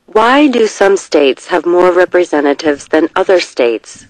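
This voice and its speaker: A narrator reading scripted test questions and short answers in a measured, deliberate way. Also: mid-range voice, lively intonation, no echo, rough recording.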